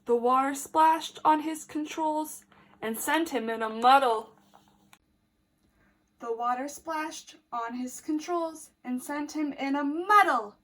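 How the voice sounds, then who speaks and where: High-voiced woman teacher reading slowly. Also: low-quality recording. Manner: animated, emphatic, addressing children directly. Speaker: teacher reading a picture book to children. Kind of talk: expressive storybook read-aloud with questions for young children.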